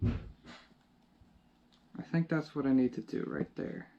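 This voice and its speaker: Male DIY vlogger, mid-pitched, informal how-to talk, thinking aloud mid-task, background noise. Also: animated, a little slow, muffled recording. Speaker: DIY video host. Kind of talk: casual how-to narration while working. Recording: clear background noise with handling clicks.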